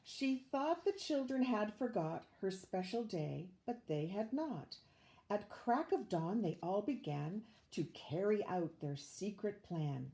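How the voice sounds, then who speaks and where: Older woman, animated, mellow storybook reader. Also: medium pitch, slow pace. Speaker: storybook reader, retired teacher. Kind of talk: expressive read-aloud of a rhyming children's story.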